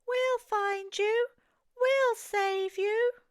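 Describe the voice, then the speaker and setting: Small excitable woodland creature for a picture book, English accent , played by a woman. Extremely high, urgent calls. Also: very slow, dry recording, clean studio sound, heavily acted. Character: small anxious forest animals, excitable and urgent.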